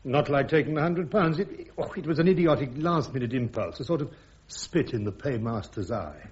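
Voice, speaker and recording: An actor playing a defiant British army major defending himself in a radio courtroom drama, with a clipped, sardonic, insistent manner. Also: male voice, mid-range voice, animated, moderate pace, a little mellow, natural voice. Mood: defiant, wry. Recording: dull radio drama recording.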